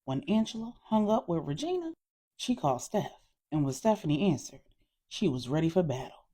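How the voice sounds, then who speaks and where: A woman telling a serial story in an expressive, dramatic narrating style. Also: deep voice, moderate pace, husky. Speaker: storyteller reading serial fiction.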